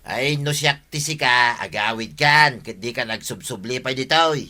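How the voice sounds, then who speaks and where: A man's voice: medium pitch, measured pace, sharp tone. An actor with an Ilocano accent playing a blunt, meddling village man in a comedy radio drama. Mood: urging.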